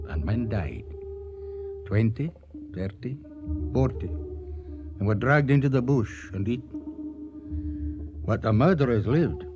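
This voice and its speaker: A man narrating a radio drama as a grave storyteller, solemn and emphatic. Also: mid-range voice. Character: grave male storyteller recounting a deadly hunt. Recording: slightly dull radio track with music underneath.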